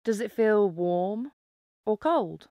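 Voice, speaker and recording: Female meditation guide: clear, smooth tone, very measured pace, calm instructive delivery. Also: mid-range voice, dry recording, studio quality. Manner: calm, instructive, unhurried with pauses. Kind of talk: guided mindfulness meditation narration.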